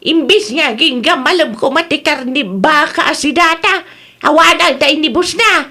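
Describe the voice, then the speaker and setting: A woman acting a nagging, no-nonsense housewife in a comedy radio drama. Her voice is high, with an Ilocano accent and a scolding village bluntness. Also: normal speed, sharp tone. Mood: complaining.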